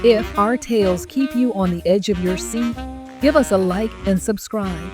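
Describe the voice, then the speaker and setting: Female announcer, mid pitch, scripted promo read, clear music bed. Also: moderate pace. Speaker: channel announcer. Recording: music under the voice.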